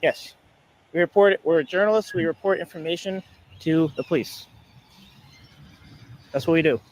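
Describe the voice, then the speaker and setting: High-voiced, insistent man confronting someone outdoors. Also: low-quality recording. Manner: insistent, repetitive, halting. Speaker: citizen investigator confronting a stranger. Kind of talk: on-the-street confrontation interview. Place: outdoors in a public place. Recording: outdoor recording with faint ambient noise.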